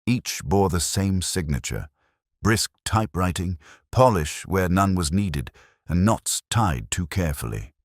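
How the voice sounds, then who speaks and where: A very low-voiced, mild police inspector played by a male narrator, calmly laying out his case with gentle, deliberate point. The voice is husky, recorded dry and close, in the manner of a detective audiobook. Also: dry recording. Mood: measured, revealing. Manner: measured, gently pointed speechmaking. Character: mild, calm male police inspector delivering quiet reveals.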